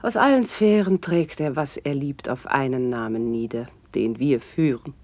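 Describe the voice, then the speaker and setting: Low-voiced, eloquent noblewoman. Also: normal speed, a little mellow, dry recording. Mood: animated, frank. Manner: lyrical, eloquent, formal declamation. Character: graceful, worldly noblewoman, witty and warmly eloquent confidante. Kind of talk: classical German verse radio drama acting.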